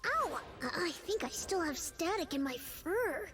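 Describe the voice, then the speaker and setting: A woman's voice: extremely high pitch, very animated, a little slow, fairly bright tone, full character voice. A woman voicing a small, cheerful, innocent furry scout in a video game, earnest, polite and childlike. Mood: cheeky. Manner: earnest, chirpy, polite, eager. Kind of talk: video game character voice lines. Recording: music bed under the voice. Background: music.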